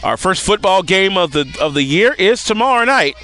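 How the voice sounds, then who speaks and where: A man calling live softball play-by-play as a radio-style sports announcer, outdoors at a ballfield. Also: high voice, lively intonation, normal speed.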